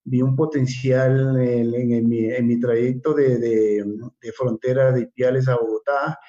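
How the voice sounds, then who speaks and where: An Ecuadorian man in his fifties speaks at a middle pitch over a slightly dull video-call line. He talks haltingly, stumbling over and repeating words, with plenty of fillers between thoughts. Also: little pitch movement, warm tone. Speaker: guest.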